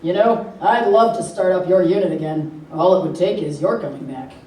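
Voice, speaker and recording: A man's voice: high-pitched, normal speed, darkish tone, lightly acted. A man playing a laconic army general in a live radio play parody. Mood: coaxing. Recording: echoey hall, distant mic, faint noise.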